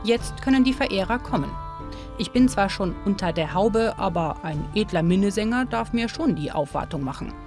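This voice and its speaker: A woman's voice: medium pitch, expressive, moderate pace. Woman narrating a documentary over music. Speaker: documentary presenter.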